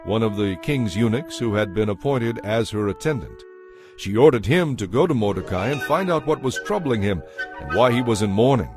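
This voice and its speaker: A man narrating scripture as a scripted, dramatized story, with a solemn storytelling delivery. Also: medium pitch. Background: music.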